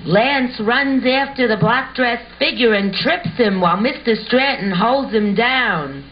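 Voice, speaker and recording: A woman's voice: fairly high pitch, measured pace. A woman reading scripted narration and stage directions as the narrator of an amateur show. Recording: muffled home recording with hiss.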